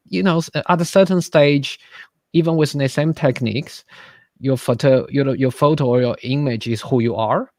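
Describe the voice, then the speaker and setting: A man hosts a live online lighting chat over a video call, eager and full of praise but stumbling through fillers and restarts. His mid-pitched voice sounds dry, with no room around it. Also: no room sound. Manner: hesitant, many fillers and restarts, enthusiastic. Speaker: webinar host.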